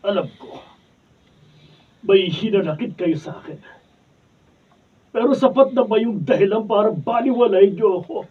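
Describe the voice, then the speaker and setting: A man's voice: sits high, moderate pace, long pauses, husky. An actor playing a hurt old father who reproaches his children, in a radio drama. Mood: hurt, reproachful. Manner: sorrowful, reproachful pleading. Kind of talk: 1970s-style radio drama acting. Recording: muffled studio from far off.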